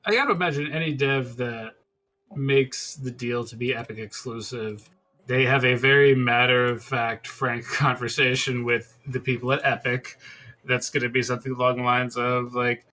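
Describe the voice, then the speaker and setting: A man's voice: mid-range voice, steady tone, normal speed, bright, forceful tone. A man co-hosting a podcast, giving his opinions in casual discussion. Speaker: podcast co-host.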